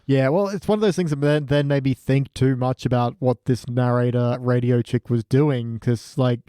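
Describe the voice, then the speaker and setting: A man's voice: medium pitch, fairly even intonation, normal speed, warm tone, clean tone, no room sound, clean studio sound. A man talking casually as a podcast panellist, giving rambling, sometimes sweary critique. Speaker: podcast panellist reviewing cartoon episodes. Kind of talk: casual chat.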